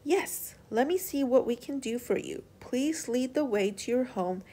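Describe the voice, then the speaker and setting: A woman's voice: a little high, lively intonation, measured pace, warm tone, voice pushed up. Woman as a kind, courteous fishing sailor. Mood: friendly, sympathetic. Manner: friendly, courteous.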